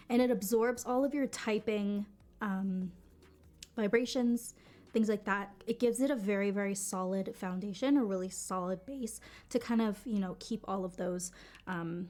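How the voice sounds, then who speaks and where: A woman's voice: mid-range voice, dark tone. Chatty woman demoing keyboards on a live stream. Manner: chatty, many fillers and restarts. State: sick, voice deeper than usual. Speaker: livestream product host. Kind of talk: casual live-stream product demo. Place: home basement studio.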